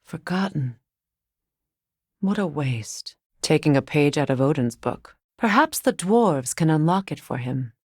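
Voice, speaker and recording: A woman playing a composed, grave goddess and warrior companion in a video game, speaking in measured, clipped remarks. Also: low voice, lively intonation, husky, no room sound, studio quality, exaggerated voice. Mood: somber, reflective.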